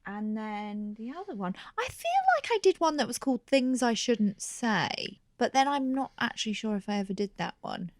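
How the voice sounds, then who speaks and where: A woman's voice: fairly high pitch, sing-song, moderate pace, few pauses, dry recording, clean studio sound. A woman comedian in her thirties, a guest chatting candidly on a podcast, laughing and often trailing off and restarting. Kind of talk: casual podcast chat.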